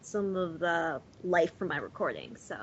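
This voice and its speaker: A woman's voice: on the low side, very animated, moderate pace, a little hiss or hum. A woman chatting casually as a panelist on a podcast, recorded on a dull lo-fi voice chat. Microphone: USB or desktop mic covered with a sock.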